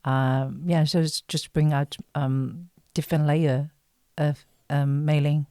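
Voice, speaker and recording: A woman speaking as an interview guest on a podcast, halting and thoughtful, with many pauses. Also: low voice, little pitch movement, warm tone, no room sound, studio quality. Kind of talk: conversational interview.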